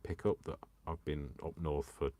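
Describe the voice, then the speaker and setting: Deep-voiced chatty English crafter. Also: male voice, fairly even intonation, normal speed, warm tone, no echo. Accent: Coventry English, worn by years in Yorkshire. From England. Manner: chatty and self-mocking, talks to himself and the viewers. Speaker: crafting livestreamer. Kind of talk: live crafting demonstration chat.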